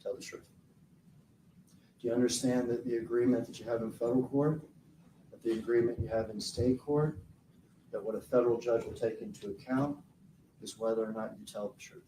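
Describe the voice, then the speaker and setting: A man questioning a witness in a courtroom, slow and deliberate. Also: medium pitch, fairly even intonation, dark tone, a little hiss or hum. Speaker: prosecutor. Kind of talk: courtroom direct examination. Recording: roomy courtroom audio from far off.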